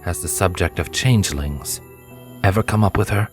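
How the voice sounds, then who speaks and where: A man voicing a cold, proud male pony assassin with a haughty, measured, formal way of speaking. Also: low-pitched, faint music behind, lightly acted. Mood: soft, probing.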